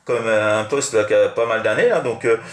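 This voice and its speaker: A man's voice: on the low side, moderate pace. Rambling French man in an echoey room. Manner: rambling, hesitant, repetitive. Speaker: amateur CB radio operator. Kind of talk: casual radio chat. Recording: roomy, echoing room sound.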